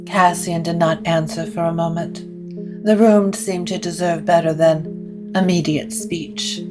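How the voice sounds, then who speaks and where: A woman narrating a scripted gothic story in a measured, literary way. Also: on the low side, steady tone, music in the background.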